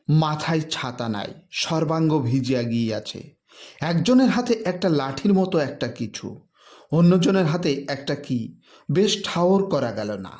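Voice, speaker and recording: A man narrating a literary story in an expressive, dramatic way with formal diction. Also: a little high.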